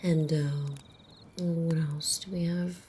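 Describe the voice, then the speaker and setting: A woman playing a centuries-old female vampire in an ASMR roleplay, wry, teasing and casually menacing, in a soft, breathy, often whispered voice. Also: low voice, steady tone, a little hiss or hum. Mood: calm, explaining. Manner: unhurried, amused, conversational.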